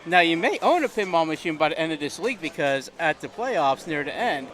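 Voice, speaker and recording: A man's voice: on the high side, big pitch swings, a little fast, fairly bright tone. A man in his mid thirties, co-commentating a pinball league stream in an easygoing, laughing, chatty way. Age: 30s. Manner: easygoing, laughs often, nostalgic. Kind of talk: casual chat. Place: bar and arcade.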